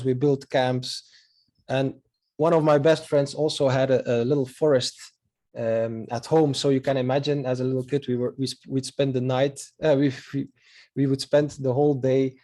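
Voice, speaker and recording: A Belgian man in his thirties, speaking English as a second language after Dutch, with a Belgian Dutch accent. His voice is mellow and dark-toned, and he pauses often with filler sounds in a friendly, earnest manner. He presents over a video call microphone. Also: medium pitch. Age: 30s. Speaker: photographer giving a presentation. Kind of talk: online slideshow presentation.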